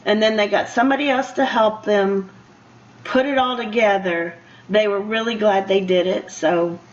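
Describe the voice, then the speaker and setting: A woman's voice: mid-range voice, steady tone, moderate pace. An older woman hosting a conversational interview, encouraging and often repeating herself as she goes. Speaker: radio show host. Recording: muffled radio interview sound.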